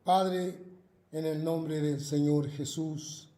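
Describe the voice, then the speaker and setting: Slow, earnest pastor in an echoey room. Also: male voice, on the high side, steady tone, mellow. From Argentina. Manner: slow, earnest, fervent praying with repetitions. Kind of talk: recorded sermon and prayer. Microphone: self-recorded video.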